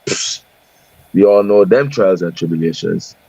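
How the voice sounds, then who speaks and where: A man with a Bajan accent, a podcast guest talking sport in a calm, wry, mock-solemn way. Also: sits low, a little slow, vocal fry, breathy edge, dry recording, low-quality recording. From Barbados. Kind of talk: casual sports chat.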